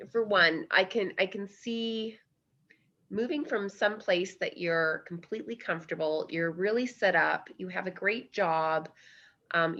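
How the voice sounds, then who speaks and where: A woman's voice: mid-range voice, fairly bright tone, lo-fi sound. A woman hosting a podcast, asking questions in a conversational way and thinking aloud with small fillers. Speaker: podcast host. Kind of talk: interview questions.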